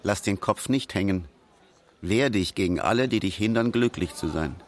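A man's voice: on the low side, animated, normal speed, lightly acted. An actor playing a wry, supportive songwriter friend recalling his encouragement in a radio play monologue. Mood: earnest, wry.

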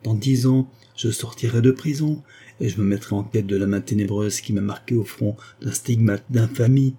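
A man's voice: on the low side, normal speed, dark tone, husky. A man voicing a proud vengeful condemned woman. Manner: intense, defiant.

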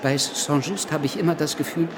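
A man's voice: a little high, brisk, crowd noise, close to an everyday voice. A man playing a stammering, sardonic revolutionary gossip in a radio play, with a wry, needling manner. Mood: wry, gossipy. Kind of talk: radio drama dialogue.

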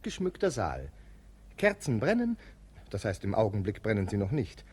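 An actor playing a wry, formal narrator who sets the scene in a 1950s German radio play. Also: male voice, fairly low pitch, wildly swooping pitch, moderate pace, loud music behind the voice.

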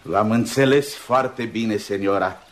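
An actor playing a courteous old labourer in a radio play, deferential and deliberate in his speech. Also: on the low side, natural voice. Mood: respectful, reminiscing. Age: older. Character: humble, courteous old former estate labourer. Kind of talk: Romanian radio theatre acting.

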